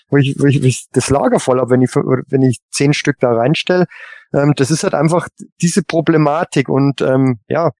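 A man on a casual podcast panel, reasoning in a halting way and often repeating his words. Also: on the high side, darkish tone, no room sound.

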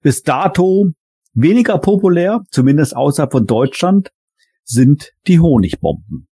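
A man on a podcast panel discussing things casually in measured, deliberate, somewhat halting phrases. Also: on the high side, sing-song, mellow, husky, no room sound, studio quality.

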